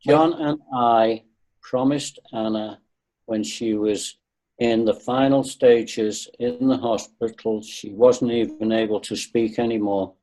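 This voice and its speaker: A man's voice: fairly low pitch, fairly even intonation, slow pace, dark tone, rough recording. A man in his sixties, a guest on a webinar video call, talking earnestly and repeating points for emphasis. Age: 60s. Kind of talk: webinar conversation.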